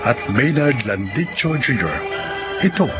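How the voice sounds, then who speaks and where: Measured male radio drama announcer. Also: mid-range voice, lively intonation, highs cut off, loud music behind the voice. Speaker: program announcer.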